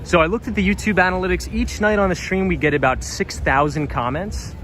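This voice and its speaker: A man's voice: high-pitched, fairly quick, fairly bright tone, rough recording. A man hosting a live stream outdoors on a windy city street at night, chatting casually and reading out viewer comments, his voice a little tired. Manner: friendly, reads out viewer comments. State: voice slightly tired. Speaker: livestream host reading chat. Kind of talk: casual live-stream chat while walking. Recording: street stream with clear music behind.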